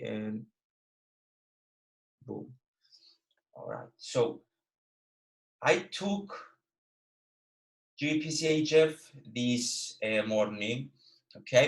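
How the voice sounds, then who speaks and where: A young man from Cyprus speaks English with a Greek Cypriot accent, his first language being Greek. He runs a live online lesson in a casual, straightforward manner, often stopping to check in with his listeners. His pitch sits in an ordinary middle range for a man. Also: fairly bright tone. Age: 20s. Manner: casual and direct, peppers speech with "okay" and "all right". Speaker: guest trading educator.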